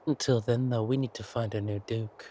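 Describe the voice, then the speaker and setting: A man acting a menacing, silkily mocking villain king in an audio roleplay, taunting with deliberate words and drawn-out pauses. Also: medium pitch, steady tone, dark tone, a little hiss or hum, lightly acted. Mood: smug, affectionate.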